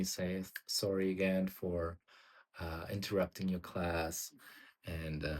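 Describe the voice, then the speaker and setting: Low-voiced German man vlogging haltingly. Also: a little mellow. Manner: hesitant, many fillers and restarts. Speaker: music teacher. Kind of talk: casual vlog reflection.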